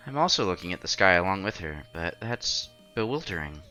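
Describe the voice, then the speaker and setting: Male streamer, expressive visual novel read-aloud, forward bright tone, faint music underneath. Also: sits low, brisk.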